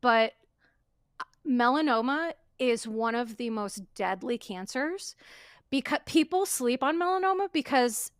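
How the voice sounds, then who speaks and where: A woman's voice: on the high side, expressive, unhurried, clear, resonant tone, dry recording, studio quality. A woman talking earnestly on a podcast, emphatic and repeating phrases to drive her point home. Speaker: podcast guest. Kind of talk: casual podcast conversation.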